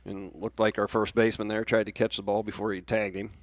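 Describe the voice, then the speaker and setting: A man offers casual baseball analysis as a color commentator. His voice is on the low side and he talks rapidly, in a dry recording. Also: little pitch movement, no echo.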